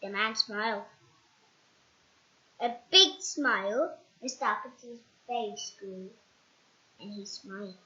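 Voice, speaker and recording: A child reading a storybook aloud in a halting, dramatic way, repeating words. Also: medium pitch, bright, forceful tone. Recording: dull, echoey home recording.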